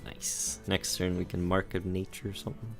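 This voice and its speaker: Low, gravelly, laid-back gamer. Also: male voice, flat delivery, normal speed, fairly bright tone. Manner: laid-back, thinks aloud, chuckles at his own jokes. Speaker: gameplay video creator. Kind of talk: casual gameplay commentary. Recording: game music and sounds behind.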